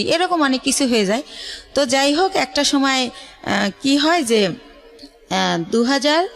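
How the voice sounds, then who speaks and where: A middle-aged Bangladeshi woman with a fairly high voice speaks as a radio interview guest. She recounts personal experiences in a halting way, pausing often as she goes. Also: fairly bright tone. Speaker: guest telling her life story.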